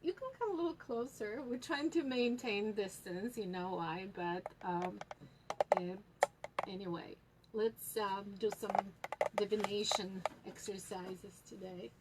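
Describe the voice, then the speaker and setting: Slow, unhurried woman with a Polish accent. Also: mid-range voice, very noisy. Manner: unhurried, many fillers and pauses. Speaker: tea shop host teaching tea leaf reading. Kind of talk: live tutorial with viewers.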